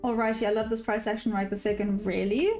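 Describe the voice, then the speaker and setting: A woman's voice: medium pitch, flat delivery, fast talker, a little mellow, faint music behind. A woman live-streaming her day trading, chatting casually and answering viewer questions as she goes. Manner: upbeat sign-off, friendly to viewers.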